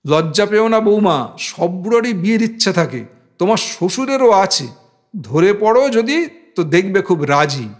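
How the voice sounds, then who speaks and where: A man reads as a sharp-tongued older uncle in an audiobook novel. The voice is high for a man, and the manner is openly teasing and blunt. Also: lively intonation, moderate pace. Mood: sly teasing.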